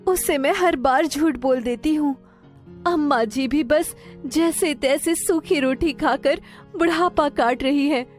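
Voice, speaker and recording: A woman's voice: sits high, takes it slowly, faint music behind, voice pushed up. A woman voicing a weary, reproachful poor farmer's wife in a storybook tale, complaining plaintively.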